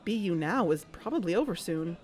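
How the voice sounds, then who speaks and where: A woman's voice: fairly low pitch, animated, a little fast, a little mellow, soft background music, lightly acted. An actress playing an uneasy, watchful woman investigator who tells her story in a scripted audio drama. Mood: uneasy, reflective. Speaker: narrator and lead character of an audio drama. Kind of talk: scripted first-person narration and dialogue.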